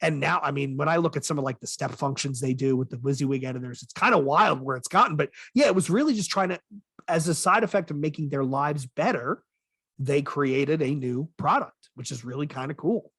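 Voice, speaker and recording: Male podcast host, conversational and self-correcting, raspy edge, very dry studio-like sound. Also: a little high, a little fast, a bit bright, no echo. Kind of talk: casual podcast discussion.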